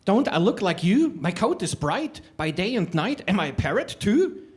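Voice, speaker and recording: A man doing a small lost creature's plaintive squeak. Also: big pitch swings, moderate pace. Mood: pleading. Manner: pleading, questioning, high and sing-song. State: sobbing at times. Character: small lost nameless creature, sad and searching.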